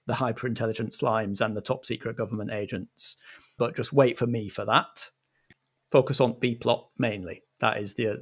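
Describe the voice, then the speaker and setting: A man's voice: medium pitch, slightly rough. A man acting as game master and director, setting scenes and giving instructions in a theatrical, organised manner.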